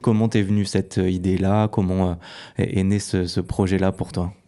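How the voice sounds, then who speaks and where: Deep, gravelly man hosting an interview. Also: level tone, mellow. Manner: hesitant, drawn-out phrasing. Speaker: podcast host, interviewer. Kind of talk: casual interview talk.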